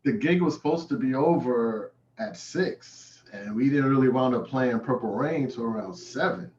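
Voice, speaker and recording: A man's voice: medium pitch, moderate pace, darkish tone, recorded from a distance. Man chatting casually in a roomy, muffled recording. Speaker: DJ, interview guest. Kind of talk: casual chat. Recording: roomy, dull, noisy call audio.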